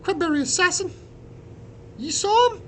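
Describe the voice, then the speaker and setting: Man putting on a feisty high-pitched Irish lass. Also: lively intonation, normal speed, a little hiss or hum. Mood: fierce, eager. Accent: Irish brogue. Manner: teasing, bold, folksy turns of phrase. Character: feisty young female potato resistance captain.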